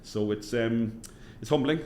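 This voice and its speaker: A middle-aged man with a Cork Irish accent, answering interview questions as a hospital chief executive in a measured way, repeating phrases for emphasis. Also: medium pitch, mellow, slight background noise. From Cork, Ireland.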